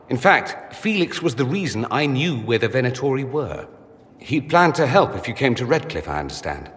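A man's voice: mid-range voice, big-room sound, lightly acted. An actor playing a witty, vain, wounded nobleman mage in a fantasy game, articulate and theatrical. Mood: wistful. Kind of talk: dramatic fantasy game dialogue.

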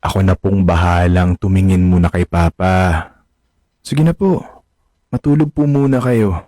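A man's voice: low-pitched, unhurried, dark tone, dry recording, clean studio sound. A man acting a caring, respectful young adult son in a radio drama. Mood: gentle, concerned.

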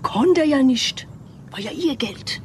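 An actress playing a gossipy housekeeper in a crime radio play, confiding and indignant, dropping into whispers. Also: female voice, sits high, animated, slow. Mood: indignant gossip. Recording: noisy radio play recording.

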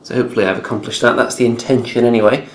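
A man's voice: mid-range voice, steady tone, very fast, a little hiss or hum. Wry man in an echoey room. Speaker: hobby video creator. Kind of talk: casual narrated build log.

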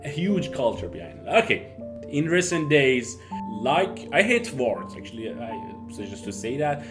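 A young man from Iran, a native Persian speaker, chatting casually to camera in halting, Persian-accented English as a YouTube host. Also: medium pitch, big pitch swings, over music. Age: young adult. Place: small home office, indoors.

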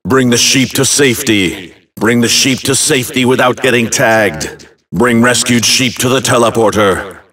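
A man's voice: medium pitch, moderate pace, a bit bright, distant mic, lightly acted. An actor playing a commanding male announcer in a fantasy action video game, delivering short phrases in an emphatic, clipped way.

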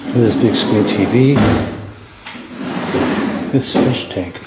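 A man's voice: lowish voice, slow. Awed man exploring an echoey abandoned house. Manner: awed, chatty, keeps addressing viewers. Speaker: urban explorer vlogger. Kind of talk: casual exploring vlog. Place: inside an abandoned house and garage. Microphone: handheld camera mic. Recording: echoey empty rooms with background noise.

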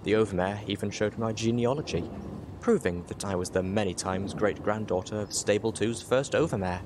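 A man with a fairly low voice narrates a story from a script in the first person. Clear noise sits behind his reading. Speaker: audiobook reader, show host.

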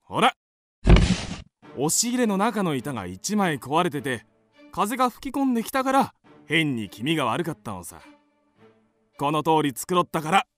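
A man's voice: a little high, wildly swooping pitch, moderate pace, long pauses, fairly bright tone, faint music behind. An actor playing an easygoing husband and father in a radio drama, with a light-hearted manner. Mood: reassuring. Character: easygoing, joking family man, husband and father.

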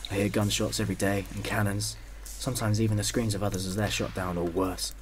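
A man's voice: lowish voice, level tone, a little fast, lightly acted. A man playing a protective young First World War soldier, afraid but brave, in a radio drama. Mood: tender, subdued. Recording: noisy, with gunfire and artillery behind.

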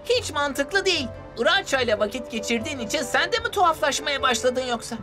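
A woman voicing a cheeky, skeptical young bus in a dubbed children's cartoon, animated and exclamatory. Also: high voice, fairly quick. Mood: doubtful. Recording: background music under the voice.

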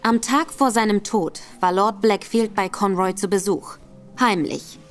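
An actress playing a confident, cunning female detective in a radio drama, speaking briskly. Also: mid-range voice, soft background music, natural voice. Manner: self-assured, brisk.

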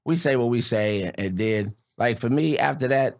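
A man's voice: mid-range voice, normal speed, gravelly, a little mellow, no echo. An American man hosting a podcast in casual, slangy talk, often chiming in with short affirmations. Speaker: podcast host. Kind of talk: casual chat. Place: small podcast set indoors. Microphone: podcast microphone.

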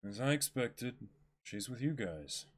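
A man's voice: mid-range voice, big pitch swings, measured pace, husky. A man voicing a lazy, laid-back navy admiral in a dramatic comic reading, with a forgetful, drawling manner. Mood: weary, casual.

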